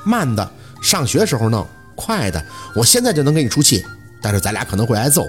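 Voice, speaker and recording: A man's voice: mid-range voice, sing-song, brisk, faint music behind, natural voice. Man voicing a hot-tempered, blunt schoolkid. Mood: determined, plotting. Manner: blunt, pushy, colloquial.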